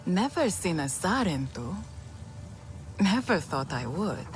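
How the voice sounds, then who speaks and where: An actress playing an earnest young clanswoman who quietly defies her leaders. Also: lowish voice, sing-song, slow pace, loud music behind the voice. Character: earnest young female clan member, quietly rebellious.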